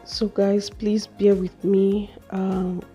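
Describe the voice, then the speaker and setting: A young woman with a slightly hoarse voice, chatting slowly through a hair care vlog in a small room. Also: mid-range voice, little pitch movement, dark tone, lo-fi sound, soft background music. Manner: slow, chatty explaining with fillers. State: a little hoarse from a cold. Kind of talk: casual how-to vlog.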